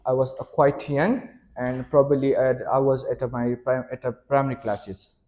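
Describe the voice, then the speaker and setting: A man's voice: mid-range voice, fairly even intonation, normal speed, mellow. A young man whose first language is Pashto, answering questions in a mock speaking exam with many fillers and restarts. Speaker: test candidate.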